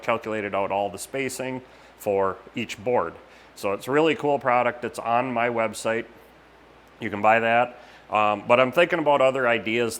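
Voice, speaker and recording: A man giving a casual update to camera as a machine shop host, plain-spoken and halting with pauses. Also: mid-range voice, fairly bright tone, slight background noise. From United States.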